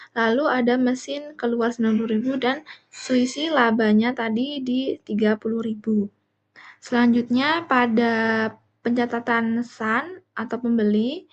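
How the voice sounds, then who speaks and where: A woman's voice: fairly high pitch, muffled recording. A young woman, a student presenter explaining material step by step in a classroom-style presentation. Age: young adult.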